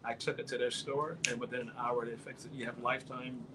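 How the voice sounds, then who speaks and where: A man's voice: mid-range voice, level tone, fairly quick. Man chatting casually, noisy background. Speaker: guest on a live talk show. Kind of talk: casual conversational interview.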